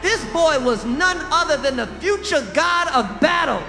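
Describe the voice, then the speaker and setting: A man's voice: very high-pitched, expressive, moderate pace, creaky. A man narrating a scripted storybook-style tale as its narrator. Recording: loud music under the voice.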